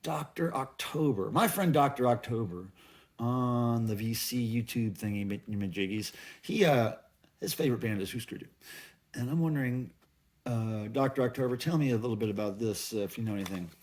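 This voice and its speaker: A man's voice: medium pitch, animated, moderate pace, a little mellow. A middle-aged man chatting casually as a vlog host, musing aloud in a rambling way with folksy, playful slang. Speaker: video channel host. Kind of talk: casual haul vlog chat.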